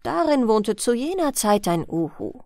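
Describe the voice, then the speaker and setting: Lady reading a bedtime fairy tale, slow and gentle, lively melody, dry close mic. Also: a little high, no echo. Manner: gentle, expressive storytelling. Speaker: audiobook narrator.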